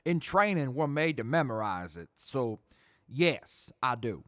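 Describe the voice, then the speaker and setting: An actor playing a guarded, dutiful mercenary major who answers tersely and formally in an audio drama. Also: male voice, mid-range voice, big pitch swings, a little slow, a bit bright, dry recording, clean studio sound. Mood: reluctant, formal.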